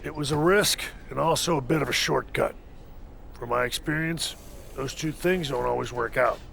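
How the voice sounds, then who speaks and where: A man playing a proud older veteran wrestler in a video game cutscene, gravelly, wry and plain-spoken. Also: medium pitch, normal speed, fairly bright tone, slight background noise. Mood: wry, grudging respect.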